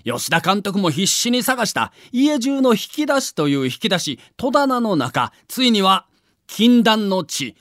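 A man's voice: sits high, sing-song, a little slow, fairly bright tone, no room sound, clean studio sound. A man reading a scripted documentary narration with a theatrical storyteller's delivery.

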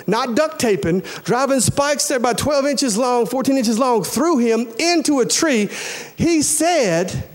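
High-pitched middle-aged man preaching. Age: 40s. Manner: folksy, colloquial, emphatic, repeats phrases for stress; addresses listeners directly with questions and urgings. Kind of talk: sermon to a congregation.

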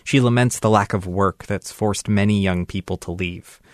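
A man narrating a radio news feature in a measured, even read. His voice is somewhat low and the recording is dry and close, with no room sound. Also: no echo. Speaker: radio reporter.

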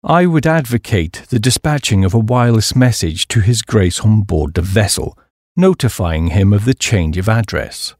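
A man voicing an unflappable English valet, formal, measured and very polite. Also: on the low side, lively intonation, darkish tone, no echo, studio quality. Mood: deferential, composed.

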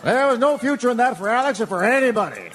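An earnest, high-voiced small-town Pop in a hall. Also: male voice, big pitch swings, fast talker, fairly bright tone, some chatter behind, slight background noise, exaggerated voice. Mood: consoling. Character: kindly small-town Pop, earnest older man, comforting. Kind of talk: live comedic radio theatre acting. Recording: live hall reverb, music behind.

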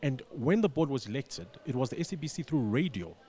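A man answering as a radio interview guest, explaining deliberately and repeating words as he forms his points. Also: mid-range voice, lively intonation, dark tone. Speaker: radio station manager, studio guest.

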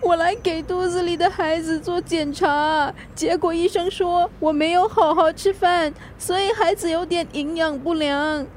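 Very high-voiced, pouty young wife. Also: female voice, steady tone, measured pace, hardly any pauses, a little hiss or hum. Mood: tearful, self-blaming. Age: young adult. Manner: tearful, pouting delivery. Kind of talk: radio drama acting.

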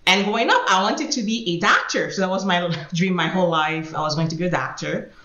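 A woman from Haiti, a guest attorney telling her life story in an unhurried, reflective way with small laughs between phrases. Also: fairly low pitch, pushed and bright. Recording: roomy echo, dull sound.

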